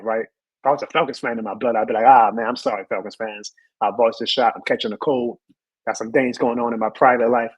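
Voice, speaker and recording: Worn-out husky guy ranting hard about his team on a live show, super dry mic sound. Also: medium pitch, no room sound, rough recording. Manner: passionate, rants and repeats lines for emphasis. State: hoarse, losing his voice. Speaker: sports show host. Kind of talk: live sports rant and chat reading.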